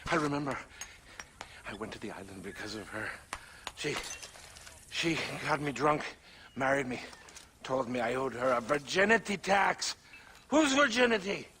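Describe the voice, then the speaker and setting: An actor playing a hapless, whiny, drunken husband in a comic video game scene, with a creaky voice. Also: male voice, mid-range voice, very animated, normal speed, pauses often, sharp tone, a little hiss or hum. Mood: drunken, dismayed.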